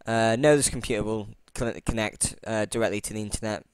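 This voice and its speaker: A man's voice: on the low side, fairly even intonation, brisk, clean tone, no echo. A man casually talking through a computer tutorial while recording his screen, rambling with many fillers and restarts, and apologizing for his boring voice. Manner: self-deprecating. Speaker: tutorial channel host.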